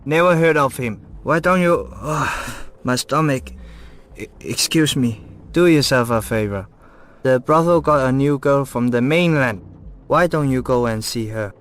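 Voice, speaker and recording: A man's voice: mid-range voice, expressive, unhurried, fairly bright tone. An actor playing a grumpy, evasive man with a stomach ache in a video game, curt and complaining. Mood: irritable, evasive.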